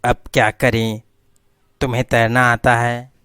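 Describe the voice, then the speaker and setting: A man's voice: medium pitch, flat delivery, unhurried, fairly bright tone, smooth, dry recording, studio quality, natural voice. A man voicing a scheming talking dog in a cartoon story, speaking in a plain, bossy way.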